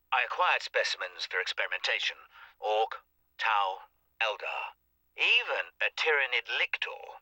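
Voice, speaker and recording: Intense, sharp-voiced Inquisitor. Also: male voice, lowish voice, dry recording, full character voice, poor audio. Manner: imperious, clinical, brooding. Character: driven male alien-hunting Inquisitor, cold and obsessive scientist. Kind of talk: dramatic sci-fi audio log narration.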